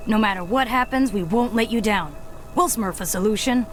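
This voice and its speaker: A woman's voice: medium pitch, moderate pace, faint music behind, close to an everyday voice. A woman voicing a brave, supportive young female Smurf in a cartoon video game, spirited and reassuring.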